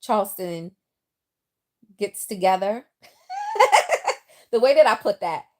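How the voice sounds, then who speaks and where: A woman's voice: mid-range voice, slow. A middle-aged woman from the Bronx, now living in the South, chatting casually with viewers on a livestream and reading their comments aloud. Her New York accent has picked up some Southern twang. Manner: chatty, lively, talks straight to viewers. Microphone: phone.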